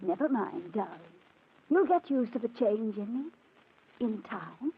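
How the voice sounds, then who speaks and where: An actress playing a possessive, willful impostor wife in a 1940s radio mystery, breathy, coaxing and dramatic. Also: female voice, a little high, animated, unhurried. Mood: breezy, sly. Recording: tinny old radio recording.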